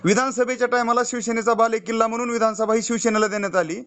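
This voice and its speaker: A man's voice: very high-pitched, fairly even intonation, very fast, fairly bright tone, smooth. A man making a heated, insistent, pleading complaint on a recorded phone call. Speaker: party youth-wing office bearer and activist.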